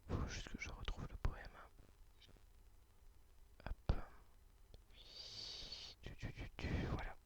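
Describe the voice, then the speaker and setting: A whispered ASMR host reading poetry and chatting about books in a halting, informal way, sex unclear. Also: highs cut off. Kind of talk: whispered ASMR poem reading and book chat.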